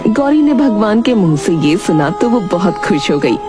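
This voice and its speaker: A woman's voice: medium pitch, very animated, normal speed, over music. A woman narrating a scripted children's moral story.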